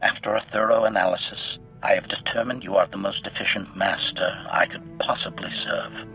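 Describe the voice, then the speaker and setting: Low-voiced, coldly murderous robot assassin. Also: male voice, fairly even intonation, moderate pace, gravelly, pushed and bright, exaggerated voice, rough recording. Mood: proud, menacing. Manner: formal, clipped, announces each statement with a label. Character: cold, calculating male assassin droid, gleefully bloodthirsty, loyal servant. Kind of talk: scripted video game acting. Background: background music.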